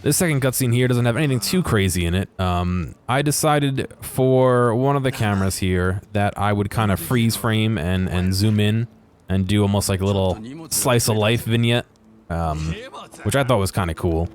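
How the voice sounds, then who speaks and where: Male gameplay narrator, low-pitched, casual and unscripted, hesitant as he thinks aloud, music underneath. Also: moderate pace. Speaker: video creator narrating game footage.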